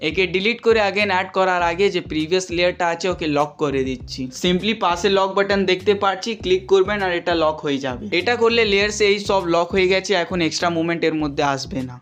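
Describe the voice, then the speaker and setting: A man, peppered words, narrating a software tutorial step by step as an instructor. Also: high-pitched, quick pace, few pauses, soft background music. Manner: instructional, repetitive step-by-step phrasing.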